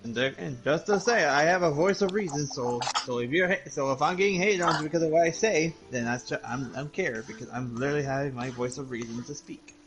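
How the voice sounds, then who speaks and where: A man's voice: a little high, a little fast, few pauses, over music, poor audio. A man bantering casually on a group stream, restating his points insistently.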